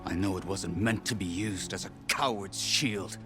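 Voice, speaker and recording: A gruff, reluctant warrior acted by a man in a dramatic video game. His voice is low, his delivery blunt, dry and skeptical, with music clearly behind him. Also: normal speed, natural voice. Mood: stern.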